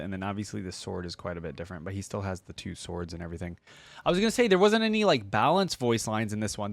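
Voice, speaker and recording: Low-voiced man chatting casually, dry sound. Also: no echo. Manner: informal, rambling, thinking aloud. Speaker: gaming reaction YouTuber, host. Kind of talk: casual chat, commentary to viewers.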